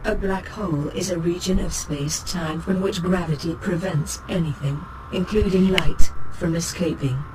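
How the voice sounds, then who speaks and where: An actor voicing a calm, knowledgeable artificial ship's computer, giving scripted encyclopedic narration in a measured, formal, precise manner. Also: medium pitch, lightly acted, low-quality recording. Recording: clear noise, spaceship ambience behind.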